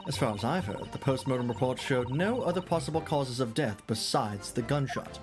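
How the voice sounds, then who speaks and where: A streamer voicing a haughty English gentlewoman witness in a British accent, prim and condescending. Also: medium pitch, lively intonation, brisk, natural voice. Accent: British, put on. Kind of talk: reading game dialogue aloud. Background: game music.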